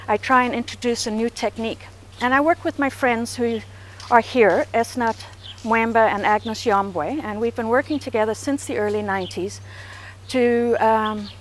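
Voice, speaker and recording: Mature female interviewee from Zambia, fairly high voice, measured unscripted storytelling with pauses. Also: a little hiss or hum. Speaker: art volunteer being interviewed.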